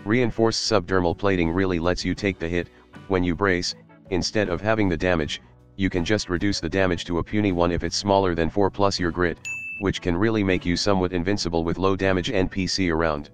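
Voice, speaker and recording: A man's voice: sits low, fairly even intonation, fairly quick. A man reading a scripted voice-over review of a tabletop game, casual and swearing now and then. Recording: light guitar music behind.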